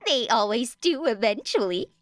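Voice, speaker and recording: A woman's voice: fairly high pitch, animated, moderate pace, no room sound, studio quality, pitched above the performer's own voice, close to an everyday voice. A woman voicing an eccentric, gleeful teenage girl inventor in an audiobook, breezy and teasing. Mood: smug.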